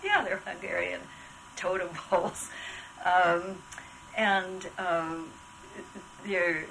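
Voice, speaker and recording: A woman interviewer with a somewhat low voice asks long questions, pausing often on filler sounds. A clear, steady hum runs under her voice throughout. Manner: hesitant, many filler sounds. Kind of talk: casual interview.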